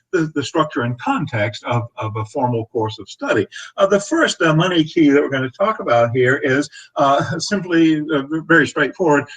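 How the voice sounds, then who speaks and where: An older man in his sixties hosts a live webinar from a book-lined home study. He talks haltingly, full of fillers and restarts with little chuckles, in a mid-range voice picked up by his desk webcam. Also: medium pitch, low-quality recording. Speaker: astrology teacher hosting a webinar. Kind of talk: casual live webinar talk.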